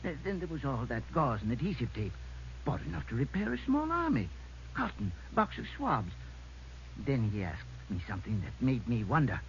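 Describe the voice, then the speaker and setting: An actor playing a mild, helpful neighborhood druggist in a 1950s radio drama, telling things in a folksy, chatty way. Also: male voice, mid-range voice, huge pitch swings, moderate pace. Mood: helpful, recollecting. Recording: muffled old radio recording, faint hum.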